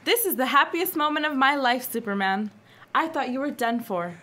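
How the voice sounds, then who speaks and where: A woman's voice: high voice, animated, normal speed, sharp tone. A woman playing an earnest, awestruck adventurer in an old-time radio drama, in an exclamatory style. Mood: relieved. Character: earnest, awestruck adventurer, former scientist's assistant. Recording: clear background ambience noise.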